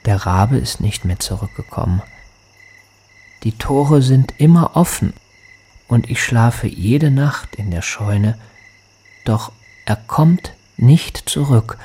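An actor playing a lonely, grieving man in a radio drama, speaking a hushed, wistful poetic monologue to his lost beloved. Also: lowish voice, slight background noise.

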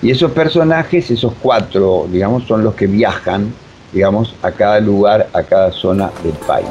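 An Argentine man explaining his work as a director in an interview, haltingly and repeating words as he goes. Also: on the low side, darkish tone. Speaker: radio drama director being interviewed. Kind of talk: unscripted interview answers. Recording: dull lo-fi audio, faint hiss.

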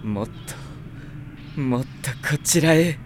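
An actor playing a scheming, cruel young sorcerer with mockingly polite, unhurried speech in a Japanese radio drama. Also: male voice, medium pitch, expressive, very noisy, natural voice. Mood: smug, taunting. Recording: drama production with music behind.

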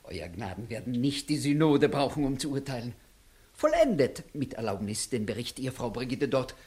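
Sly fussy court clerk, very husky breathy voice, deferential, swoopy pitch, old radio play. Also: male voice, sing-song, normal speed, darkish tone. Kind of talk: 1960s German classical comedy radio play acting.

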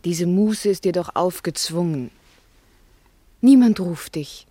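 A doctor's wife in a radio drama. She is earnest and worried, pleading in a measured, gently insistent way. The recording is dry. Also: on the low side, no echo. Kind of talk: German radio play acting.

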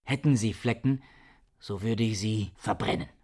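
An actor playing an aloof, irritable bookish scholar with a pedantic, curt manner in a German radio drama. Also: male voice, on the low side, normal speed, darkish tone, lightly acted. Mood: cold, irritable.

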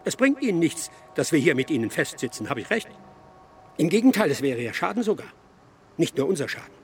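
A man's voice: on the high side, big pitch swings, a little fast, faint music behind. A man acting in a German radio drama as an anxious, self-serving, fussy delegate who talks urgently. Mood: urgent, persuasive.

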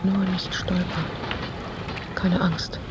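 An actress playing an intense, uneasy woman in a literary radio play, narrating her memories and fears in terse, clipped phrases with a creaky voice. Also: fairly low pitch, flat delivery, slow, heavy background noise. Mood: breathless, urgent. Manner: terse, clipped phrases, at times near whispering.

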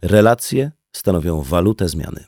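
Male audiobook narrator, low-pitched, soft dark tone, dry studio sound, scripted reading. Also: expressive, a little slow, dry recording. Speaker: audiobook reader.

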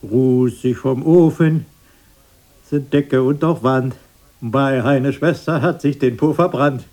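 A man's voice: mid-range voice, takes it slowly, a little mellow, lightly acted. An actor playing a defensive, dismissive grown brother recalling his childhood in a radio drama. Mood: singsong.

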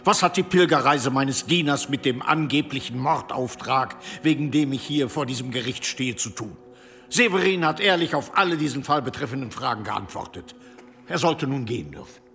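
An indignant medieval bishop in a radio drama. He is pompous and scornful, with a creaky edge to his voice and faint music behind him. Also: medium pitch, lively intonation, moderate pace, bright, forceful tone. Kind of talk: radio play acting.

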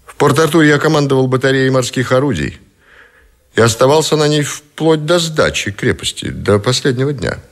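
An actor playing a captive middle-aged admiral who gives formal, measured, dignified testimony in a radio play. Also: male voice, mid-range voice, lively intonation, natural voice. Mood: formal, composed.

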